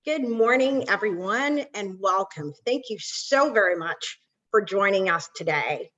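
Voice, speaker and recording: A woman's voice: mid-range voice, lively intonation, a little slow, fairly bright tone, dull audio. Earnest woman opening a webinar. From Barbados. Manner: earnest, with frequent ums. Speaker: nonprofit executive. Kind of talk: webinar welcome speech.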